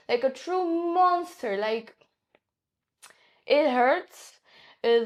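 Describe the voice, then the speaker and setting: A young woman whose first language is Polish, chatting enthusiastically in a casual perfume review, with frequent fillers. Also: fairly high pitch.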